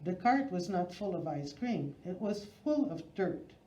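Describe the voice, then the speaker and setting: Woman reading a picture book, mellow and roomy. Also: on the low side, very animated, measured pace. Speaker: storyteller reading a children's book. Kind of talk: expressive read-aloud of a children's picture book. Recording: roomy, slightly dull recording.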